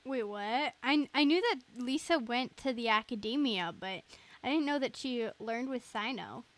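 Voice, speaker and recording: A woman's voice: fairly high pitch, lively intonation, moderate pace. A woman giving casual, giggly, reactive commentary as she plays a game. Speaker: game streamer.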